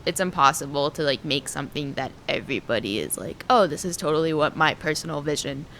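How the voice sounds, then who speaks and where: A young woman speaks softly and hesitantly, her pitch sitting low for a woman. A faint rumble hums under the recording. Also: animated, fast talker, poor audio. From Israel, raised in the United States. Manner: soft-spoken, hesitant, many "um"s and laughs. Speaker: game artist. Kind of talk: interview answers.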